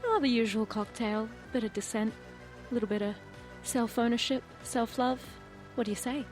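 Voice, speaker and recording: A woman playing a confident rebel rocker in a tabletop game, sly, laid-back and unhurried. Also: a little high, steady tone, faint music behind, natural voice. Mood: sly, persuasive.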